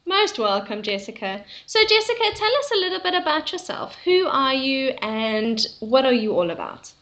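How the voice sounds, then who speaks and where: High, lively woman hosting an interview. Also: normal speed. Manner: enthusiastic with short affirmations. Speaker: interview host.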